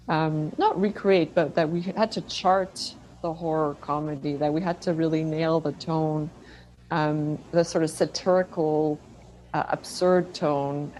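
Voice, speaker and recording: Lower-voiced woman guest, noisy recording. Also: a little mellow. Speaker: film director and screenwriter interviewed as guest. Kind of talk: casual conversational interview answers.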